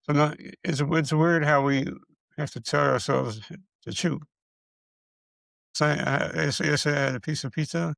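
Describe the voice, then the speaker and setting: A middle-aged man with a raspy voice, giving a casual update on his health. He stutters and repeats syllables, and he is short of breath as he struggles to get words out. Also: fairly high pitch, steady tone, normal speed, long pauses, fairly bright tone, no room sound. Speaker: video creator sharing his illness progress.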